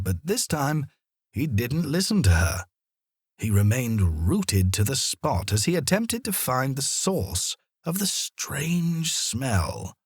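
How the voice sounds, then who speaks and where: A man narrating a children's story in a deliberate, expressive storytelling manner, as an audiobook reader. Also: on the high side, breathy edge, no echo, clean studio sound.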